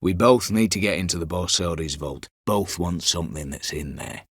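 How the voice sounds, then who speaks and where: A man's voice: sits low, normal speed, fairly bright tone, slightly rough, no echo, studio quality, exaggerated voice. An actor voicing a scheming, vengeful disinherited nobleman turned heist leader in a video game, with a colloquial, wry, conspiratorial way of talking. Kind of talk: video game character dialogue.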